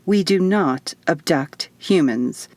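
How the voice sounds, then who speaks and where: A woman's voice: sits low, animated, slow, darkish tone, no echo, heavily acted. A woman voicing a stern, matter-of-fact male alien guardian in human form for an audiobook, explaining things firmly. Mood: measured, explaining. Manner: firm, explanatory. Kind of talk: audiobook character dialogue.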